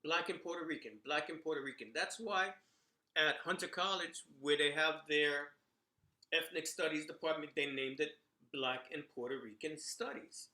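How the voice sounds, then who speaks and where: Middle-aged New man, bright, slightly high voice, chatty storyteller, echoey room. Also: steady tone, normal speed. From New York, Bronx. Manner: conversational, frequent "you know" and "right?". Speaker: commentator sharing memories. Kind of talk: casual monologue.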